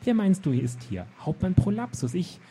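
A man's voice: high voice, very animated, moderate pace, warm tone, a little hiss or hum, voice pushed up. A man voicing a pompous, grumpy knight captain who blusters irritably while out of breath. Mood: irritable.

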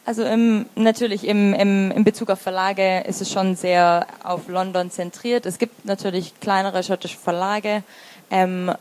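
A woman's voice: mid-range voice, fairly even intonation, unhurried, a little mellow. A woman in her thirties with a Swabian German accent, answering interview questions as a literary translator, thoughtful with many fillers and restarts. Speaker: literary translator and review editor.

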